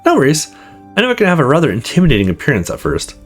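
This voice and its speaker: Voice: mid-range voice, huge pitch swings, very fast, faint music behind. Someone voicing a friendly, muscular young woman.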